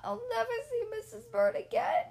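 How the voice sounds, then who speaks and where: A woman's voice: high voice, big pitch swings, slow, heavily acted. A woman voicing a small, fretful male bird who cries out with emotion. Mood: distraught.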